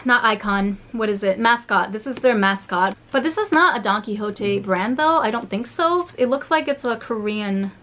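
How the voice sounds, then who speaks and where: A woman vlogging a casual beauty haul from home, chatty and rambling with many fillers and restarts, her voice slightly hoarse. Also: mid-range voice, fairly bright tone.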